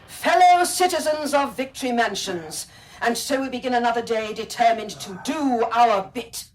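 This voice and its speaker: A woman playing a zealous, bossy exercise instructor of an authoritarian state in a radio drama, brisk and hectoring. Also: fairly high pitch, expressive, a little hiss or hum, lightly acted, rough recording. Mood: brisk cheer. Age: 30s.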